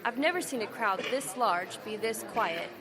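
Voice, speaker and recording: An actress playing an eager field news reporter who keeps pressing with questions in a live radio drama. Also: female voice, on the high side, lively intonation, a crowd in the background, natural voice. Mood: hushed awe. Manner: brisk, pressing reporter delivery.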